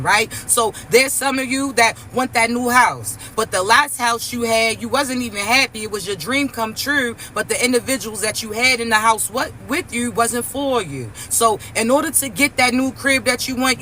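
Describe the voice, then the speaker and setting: A raspy man with a bright, high-set voice delivers an emphatic spiritual message, slowing down to press each point home. Faint traffic noise hums behind him outdoors. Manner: slow, emphatic, addresses listeners as chosen ones. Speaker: spiritual reader.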